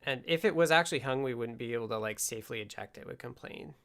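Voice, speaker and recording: A man with a creaky, gravel-edged voice at a middling pitch, hosting a casual programming livestream. He thinks aloud in halting bursts, often muttering to himself as he works at his desk. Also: fairly bright tone. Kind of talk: casual live coding stream, thinking aloud. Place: indoors at a desk with computer.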